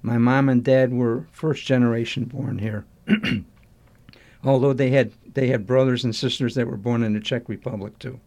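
Older man with a mellow voice. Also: medium pitch, steady tone. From Chicago, USA. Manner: halting, restarts sentences, warmly nostalgic. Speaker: interview guest. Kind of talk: reminiscing interview answers.

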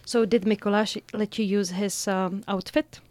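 A woman's voice: mid-range voice, fairly even intonation, normal speed, a little mellow, dry recording. A woman co-hosting a radio interview, asking questions in a playful, teasing way. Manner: playful, laughs easily. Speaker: radio co-host. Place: radio studio.

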